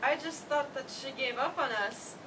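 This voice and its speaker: A woman's voice: high-pitched, normal speed, natural voice. A woman playing an emotional, guilt-ridden eldest daughter in a staged play reading. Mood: shocked, guilty. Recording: distant mic, noisy room.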